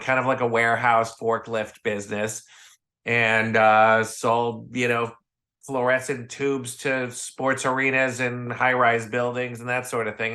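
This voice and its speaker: A man's voice: medium pitch, bright, forceful tone. A man from Chicago with an American accent, a podcast guest telling casual, chatty anecdotes and voicing the dialogue in them. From Chicago, United States. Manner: chatty, acts out quoted dialogue.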